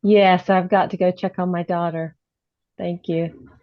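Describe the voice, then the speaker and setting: A woman's voice: lowish voice, little pitch movement, unhurried. A woman chatting casually and appreciatively on a video call. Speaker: meeting participant, parishioner. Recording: dull video call audio.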